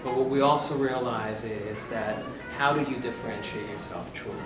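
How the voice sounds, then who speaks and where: A man's voice: medium pitch, moderate pace. A man from Austria answering as an executive guest in a conversational interview, explaining emphatically and often checking for agreement. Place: conference venue. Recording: echoey, distant mic, loud music behind.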